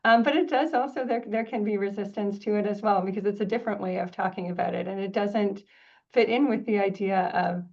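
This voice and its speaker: Woman author answering webinar questions, measured and thoughtful, muffled video call sound. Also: medium pitch. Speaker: author presenting her book.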